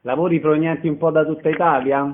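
A man's voice: a little high, fairly even intonation, fast talker. A man hosting a radio interview, with a narrow, telephone-like sound.

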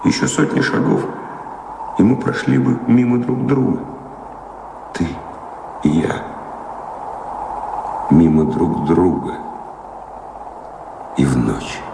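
An actor playing a world-weary wandering former lover in an audio play, gravelly and brooding, with deliberate phrasing. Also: male voice, low voice, full character voice. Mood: wistful, reflective. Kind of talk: audio play stage acting. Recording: echoey, noisy old radio-play recording.

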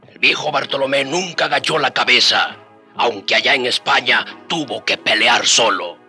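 An actor playing a grave native narrator who recalls the Spanish conquest in a radio serial. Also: male voice, mid-range voice, normal speed, bright, forceful tone. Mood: solemn. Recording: music behind the voice.

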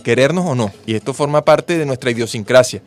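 A man's voice: mid-range voice, fast. Venezuelan man hosting a radio show. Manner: earnest, patriotic, speechlike. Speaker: radio host. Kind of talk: radio talk show commentary. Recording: music bed behind the voice.

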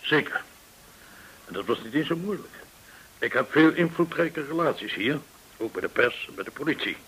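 An actor playing a courteous, shrewd, well-connected informant in a Dutch radio drama, speaking in a formal, deliberate way. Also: male voice, a little high, expressive, fairly bright tone, poor audio. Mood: shrewd, probing. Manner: formal, measured, deliberate explaining. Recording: hissy old radio recording.

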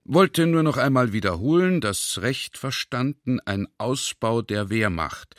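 Male radio-drama narrator: very low voice, formal and emphatic, close and dry studio sound. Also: a bit bright, no echo. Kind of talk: literary radio-play narration in reported speech.

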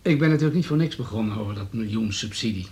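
A man's voice: fairly low pitch, lively intonation, quick pace, a little mellow, close to an everyday voice. Condescending male politician played by an actor. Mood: matter-of-fact. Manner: smooth, businesslike, needling. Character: hard-nosed, condescending male town politician. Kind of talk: Dutch radio drama dialogue.

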